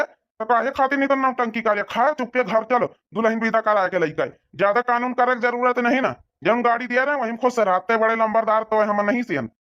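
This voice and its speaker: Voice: mid-range voice, fairly bright tone, natural voice. An actor voicing an exasperated village father of the groom in a comedy cartoon, scolding and rambling, on lo-fi audio. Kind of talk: amateur comedy cartoon dialogue.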